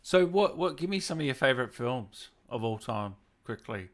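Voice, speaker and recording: A man's voice: medium pitch, lively intonation, normal speed. A man hosting a casual interview chat and putting questions to his guest. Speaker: interview host.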